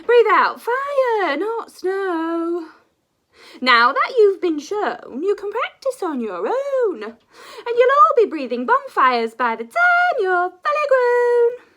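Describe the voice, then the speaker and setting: Storybook dragon headmistress, played by a woman: very high, booming, commanding, stretching out words slowly. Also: wildly swooping pitch, noisy background, exaggerated voice. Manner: loud, drawn-out, exclamatory. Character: grand, booming female dragon schoolteacher, commanding.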